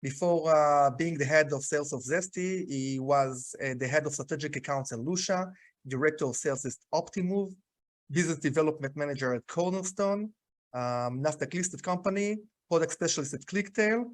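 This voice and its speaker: A man with a somewhat high voice hosts a sales podcast. He introduces his guest haltingly, stopping on uh and um between phrases. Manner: halting, filler-heavy introductions. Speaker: podcast host. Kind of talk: interview hosting.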